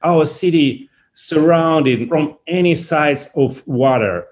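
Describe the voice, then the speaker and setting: A man from Russia with a Russian accent, answering interview questions in halting, non-native English. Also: a little high, a little mellow. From Saint Petersburg, Russia. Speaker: interview guest, company founder.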